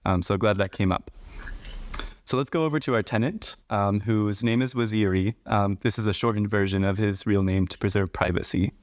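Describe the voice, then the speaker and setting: A man hosting a podcast interview, speaking in a measured, explanatory way. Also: on the low side, no room sound. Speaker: podcast host.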